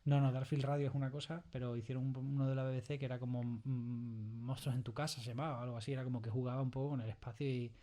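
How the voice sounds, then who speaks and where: A man's voice: mid-range voice, flat delivery, fast, hardly any pauses, warm tone. A man with an accent from Spain talking casually as an interview guest, repeating phrases for emphasis. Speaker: audio fiction scriptwriter, interview guest.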